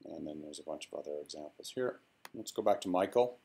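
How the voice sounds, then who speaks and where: A man presenting a casual software walkthrough, speaking in a hesitant, halting way. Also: a bass voice, expressive, vocal fry, husky. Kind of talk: casual screen-recorded walkthrough.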